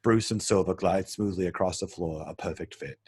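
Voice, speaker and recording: A man's voice: deep voice, fairly quick, darkish tone, slightly rough, dry recording, studio quality. A man reading scripted stage directions as narrator of a table read.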